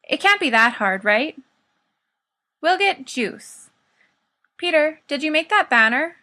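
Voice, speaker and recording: A bossy teenage lad, voiced by a woman narrator in an audiobook. He is brisk, blunt and teasing, with a high, bright tone. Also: very animated, heavily acted. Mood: brisk, planning. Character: bossy, teasing, tipsy teenage boy, ringleader. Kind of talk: fan fiction audiobook character.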